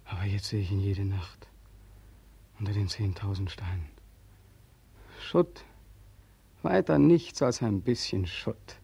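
An actor playing an embittered, despairing young soldier home from captivity, in an agitated, repetitive 1940s radio drama manner. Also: male voice, lowish voice, takes it slowly, creaky, long pauses, dark tone, slightly rough, dry recording, full character voice. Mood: bitter, despairing.

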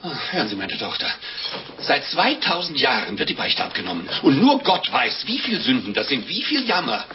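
Grumpy impatient monk, old radio drama, very animated, muffled and pretty noisy. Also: male voice, a little high, moderate pace. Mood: pompous, chatty. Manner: exclamatory, grumbling, theatrical. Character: grumpy, hungry, impatient monk hearing a confession. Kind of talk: 1950s German radio play acting.

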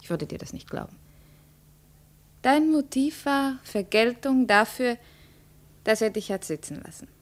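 An actress playing a proud young princess, measured, imperious and quietly intense, in a 1950s German radio drama. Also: female voice, on the high side, expressive. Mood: cool, probing.

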